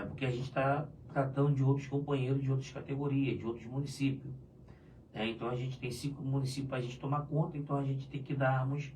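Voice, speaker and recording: A hoarse man chatting loosely on a live union show, tagging his sentences with "né?". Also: mid-range voice, darkish tone. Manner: loose and chatty, many "né?" tags. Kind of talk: casual live chat answering viewers. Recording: distant mic with faint room noise.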